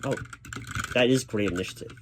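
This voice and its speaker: A man doing a spoken ASMR job interview roleplay as a reassuring, gently professional interviewer who speaks a little hesitantly. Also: medium pitch, fairly quick. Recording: clear background noise of keyboard typing. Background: computer keyboard typing.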